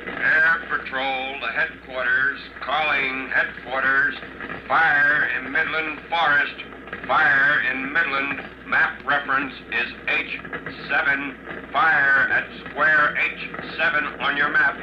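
Urgent patrol warden played by a man, slow, measured, fairly high voice, old radio drama under heavy noise. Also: fairly even intonation, close to an everyday voice. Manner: clipped, repeating, official. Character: urgent, businesslike male air patrol warden. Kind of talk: 1940s radio drama acting. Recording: muffled old radio, loud engine-like noise.

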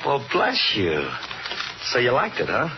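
A man's voice: medium pitch, wildly swooping pitch, a little slow, noisy background. A man playing an anxious bandleader in a 1950s radio drama, with a folksy, colloquial way of talking. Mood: kindly. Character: anxious male bandleader and clarinetist recounting his trouble. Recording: dull old radio recording, music behind.

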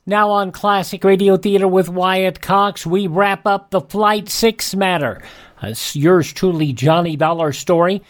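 A man's voice: sits high, unhurried. A man hosting a vintage radio program, reading scripted introductions. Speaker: classic radio show host.

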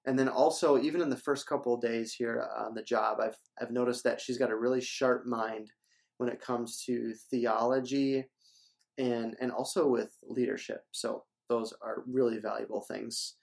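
A man's voice: mid-range voice, fairly even intonation, normal speed, creaky. Upbeat young man hosting a church interview. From Minnesota. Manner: upbeat, promotional, repeats words. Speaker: church staff host. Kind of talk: casual church announcement interview.

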